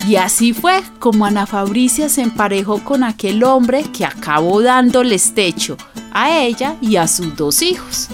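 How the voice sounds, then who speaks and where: An earthy Colombian woman storyteller, narrating a radio play in a confiding, colloquial way. She takes her time over each phrase, with soft music underneath. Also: fairly high pitch, animated, a little slow. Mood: fond, reminiscing. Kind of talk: conversational radio drama narration.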